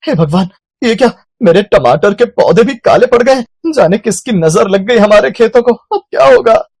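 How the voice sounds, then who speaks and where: Village farmer and father , acted by a man: earnest, rather high husky voice, dry cartoon recording. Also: expressive, moderate pace, darkish tone, no echo, exaggerated voice. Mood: distraught. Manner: lamenting, earnest. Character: anxious male village farmer, a worried father.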